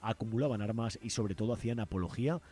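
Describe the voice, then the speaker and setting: Male radio host from Spain, fairly low voice, informal and hesitant commentary. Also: fast.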